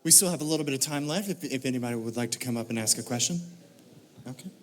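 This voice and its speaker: A man moderating a convention panel, briskly inviting more questions. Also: medium pitch.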